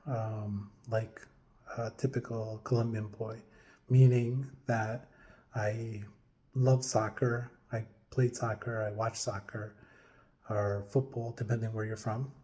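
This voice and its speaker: A man in his forties from upstate New York, telling his own story to camera in a hesitant, casual way with many pauses and fillers. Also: mid-range voice, flat delivery, mellow. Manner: hesitant, many ums and restarts, chuckles now and then. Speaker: vlogger.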